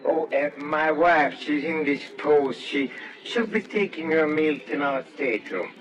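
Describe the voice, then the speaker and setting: A man's voice: fairly high pitch, unhurried, lo-fi sound, soft background music, lightly acted. A man playing a nervous, secretive professor who puts on joviality, speaking hesitantly in old-time radio drama style. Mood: flustered. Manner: hesitant, stammering. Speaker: lead actor and narrator.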